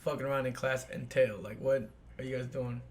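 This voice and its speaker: A man hosting a podcast in a loose, joking chat, losing his voice a little and clearing his throat now and then. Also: medium pitch, fairly even intonation, fairly quick, darkish tone, a little hiss or hum. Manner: loose, joking, swears freely. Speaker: podcast host. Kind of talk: casual chat.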